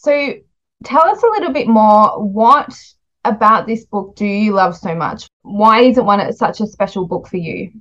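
A woman's voice: medium pitch, animated, measured pace, a bit bright, muffled recording. A woman hosting a podcast interview about books in a chatty style. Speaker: podcast host. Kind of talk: podcast interview, warm book chat.

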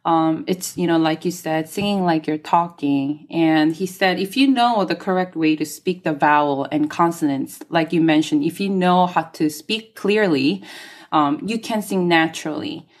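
A woman singer whose first language is Korean talks through her craft in an interview. Her voice sits a little low, and she pauses often with soft ums as she gathers her thoughts. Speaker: guest singer. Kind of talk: interview conversation.

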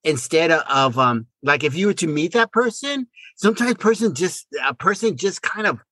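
Male podcast co-host, middle-aged, lively swings in pitch, fairly high voice, stumbles and restarts in casual chat. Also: dry recording. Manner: halting, repeats words.

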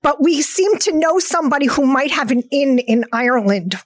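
A woman co-hosting a podcast, bubbly and quick to laugh as she chats. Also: high-pitched, animated, no room sound, clean studio sound. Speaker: podcast co-host. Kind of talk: casual podcast interview.

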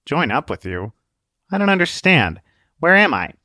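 A man's voice: a little high, sing-song, normal speed, bright, forceful tone, no room sound, clean studio sound, voice pushed up. A man doing a wary, puzzled young man. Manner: suspicious, questioning.

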